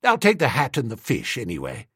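Amiable young English toff, done by a male narrator: sheepish, bumbling, chatty, swooping pitch, husky, storybook comedy. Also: huge pitch swings, brisk, a bit bright, dry recording, clean studio sound, full character voice. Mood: mildly dismayed. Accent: upper-class English. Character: mild, sheepish young English lord, amiable silly student.

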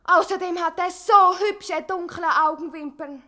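Super high bright fangirl, gushing n earnest, speechifying like in a radio comedy. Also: normal speed. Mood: adoring, indignant. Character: gushing teenage fan club girl defending her criminal idol. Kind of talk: satirical German radio play acting.

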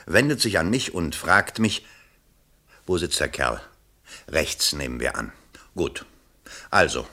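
An actor in a 1970s German radio play, playing a pompous yet anxious election candidate with theatrical oratory. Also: male voice, sits low, pushed and bright, full character voice. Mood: nervous self-coaching. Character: pompous, anxious male election candidate rehearsing and declaiming speeches.

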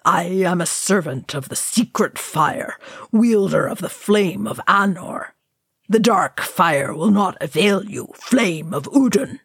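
A stern old wizard, played by a woman declaims slowly and grimly in a storybook tale. The delivery is commanding and defiant, recorded close and dry. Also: on the low side, darkish tone, slightly rough, no echo, exaggerated voice. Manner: grim, drawn-out declamation. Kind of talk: dramatic storybook character reading.

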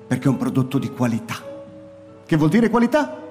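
An actor playing a weary, frightened old male scholar in a radio play, measured and bitter, with faint music behind. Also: sing-song, a little mellow, close to an everyday voice. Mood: earnest. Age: older. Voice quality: measured, turns shrill in alarm. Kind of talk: radio drama acting.

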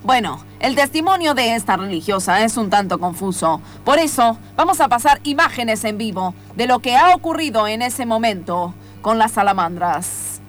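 A woman's voice: on the high side, animated, normal speed, few pauses, faint music behind, close to an everyday voice. A woman playing a mock-serious documentary presenter, explaining mysteries in improvised comedic radio theatre.

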